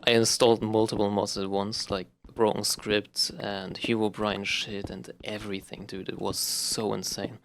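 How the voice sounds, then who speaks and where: German-accented young guy, low and monotone, halting casual gaming chat. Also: gravelly, slight background noise. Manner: rambling, halting, with many fillers and restarts. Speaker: gaming vlogger. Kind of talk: casual unscripted talk while playing a game.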